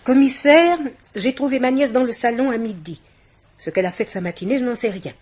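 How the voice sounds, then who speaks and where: An actress playing a dignified, guarded middle-aged guardian aunt in a French radio mystery play, speaking with polite haughtiness. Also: female voice, a little high, a little fast, a little mellow, breathy edge. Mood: guarded, offended. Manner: polite, haughty, carefully articulated indignation.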